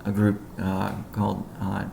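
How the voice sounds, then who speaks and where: Guy doing a company update on a conference call, monotone, echoey room w a bit of noise. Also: lowish voice, measured pace, gravelly. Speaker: company executive giving update. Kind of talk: conference call business briefing.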